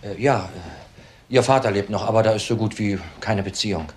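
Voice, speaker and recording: An actor playing an agitated, evasive husband in his thirties in a crime drama, speaking haltingly and repeating words. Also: on the low side, slight background noise, lightly acted. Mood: evasive, subdued. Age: 30s. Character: young widower husband, agitated, evasive under questioning. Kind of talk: German crime drama acting.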